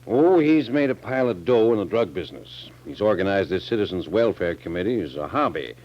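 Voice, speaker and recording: An actor playing a genial, plainspoken older sheriff with an American accent in a 1940s radio drama. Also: male voice, lowish voice, sing-song, brisk. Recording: dull 1940s radio recording.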